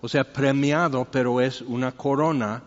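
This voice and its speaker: A man's voice: mid-range voice, fairly even intonation, moderate pace. Mexican man preaching in an echoey hall. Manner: conversational, rambling, joking asides. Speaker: pastor preaching. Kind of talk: informal expository sermon with anecdotes. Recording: echoey hall, dull muffled sound.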